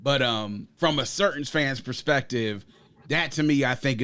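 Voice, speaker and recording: A man's voice: medium pitch, a bit bright, clean tone. Man hosting a rambling album chat. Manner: long rambling sports analogies; leads the discussion, asks others for picks. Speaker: podcast host. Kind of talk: casual music review chat.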